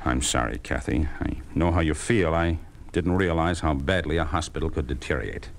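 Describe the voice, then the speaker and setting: An actor playing a proud, principled surgeon in an old radio drama, formal, firm and indignant. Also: male voice, very deep voice, moderate pace, vocal fry, slight background noise. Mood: concerned. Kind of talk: 1950s radio drama acting. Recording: old 1950s radio recording.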